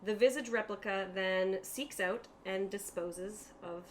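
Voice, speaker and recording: Woman running a tabletop rpg, explains stuff conversationally, mid pitch, noisy room. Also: unhurried. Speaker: game master. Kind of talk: narrating a tabletop roleplaying game.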